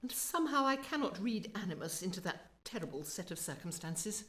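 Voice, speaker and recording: Senior female starship officer, refined and judicious, formal wording, animated pitch, radio drama. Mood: firm, concerned. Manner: formal, wordy, measured phrasing. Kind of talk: radio play acting.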